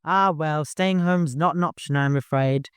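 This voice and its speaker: A man's voice: a little high, moderate pace, a bit bright, clear, resonant tone, no room sound, studio quality, pitched above the performer's own voice, close to an everyday voice. A man voicing a dutiful, serious caravan leader with an earnest, courteous manner in an audiobook. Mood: resigned. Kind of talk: audiobook character voice.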